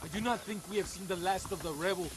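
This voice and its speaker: An actor playing a stern, commanding army captain in a video game, speaking curtly. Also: male voice, sits high, fast talker, over music, lightly acted. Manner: curt, commanding. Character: stern, imperious Mexican army captain giving orders. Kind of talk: video game cutscene acting.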